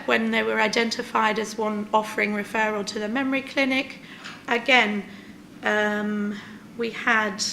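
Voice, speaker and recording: A woman's voice: mid-range voice, creaky, sharp tone, a little hiss or hum, rough recording. A woman presenting a report at a formal meeting, careful and hesitant, with frequent fillers. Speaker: clinical lead presenting a report.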